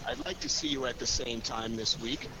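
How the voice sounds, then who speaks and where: A man's voice: mid-range voice, normal speed, lightly acted. A man playing a cool, shrewd amateur sleuth in a radio drama, wry, probing and self-assured. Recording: clearly noisy recording.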